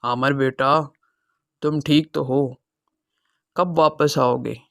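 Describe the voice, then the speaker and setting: A man playing a gentle, sorrowful elderly father in a dramatized cartoon moral story. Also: medium pitch, fairly even intonation, slow, vocal fry, no echo, studio quality. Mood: worried. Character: sorrowful, self-sacrificing elderly father, gentle with his children.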